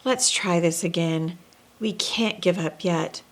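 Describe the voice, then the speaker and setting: A woman reader gives voice to a kindly old Santa in a children's storybook. He speaks slowly and earnestly, measuring each phrase with patient gentleness. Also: on the low side, pitched below the performer's own voice. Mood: regretful, gentle.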